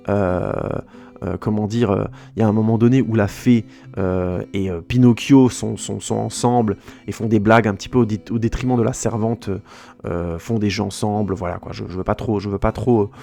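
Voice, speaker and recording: A man's voice: lowish voice, creaky, dark tone. A French-speaking man giving a casual, rambling film review for his podcast, often restarting his sentences and hesitating.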